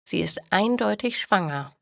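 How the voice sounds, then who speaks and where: A woman narrating scripted stories for language learners in a deliberate, clearly articulated way. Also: mid-range voice, lively intonation, dry recording, studio quality.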